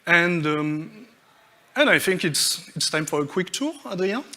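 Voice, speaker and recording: A man speaks from a conference stage, his voice sitting a little on the high side. He moves through his points one by one, stopping often on fillers and restarting his sentences. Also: animated, pushed and bright. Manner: halting, many fillers and restarts, listing points. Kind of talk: tech conference talk.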